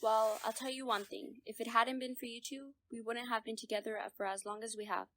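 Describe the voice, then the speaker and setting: A bitter mother, played by an actress, speaks in a fairly high voice. She is blunt and sharp-tongued, scolding and bickering in the manner of a radio drama. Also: fairly even intonation, brisk, natural voice. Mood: cold, blunt.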